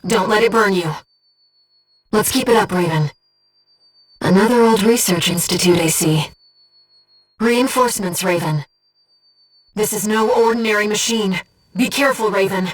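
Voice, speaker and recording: A woman voicing a disembodied female guide who speaks in a pilot's head as mission operator in a video game, with a soft, breathy voice and a precise, earnest delivery. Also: mid-range voice, normal speed, pauses often, distant mic, natural voice, low-quality recording. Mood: urgent, alert. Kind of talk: scripted video game voice lines, mission briefings and battle callouts.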